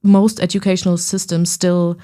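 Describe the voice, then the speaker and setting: A woman's voice: lowish voice, little pitch movement, normal speed, mellow. A young woman co-hosting a student podcast, speaking hesitantly with fillers and restarts. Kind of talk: casual discussion.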